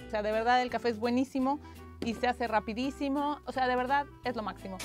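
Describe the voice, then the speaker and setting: A woman's voice: fairly high pitch, fairly even intonation, fast. A woman in her thirties hosting a playful kitchen gadget review, chatting to camera and tossing in sassy asides. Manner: chatty, sassy, asks herself questions and answers in asides. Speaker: lifestyle show host. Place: bright indoor studio set with kitchen gadgets on a table. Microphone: lapel mic. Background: background music.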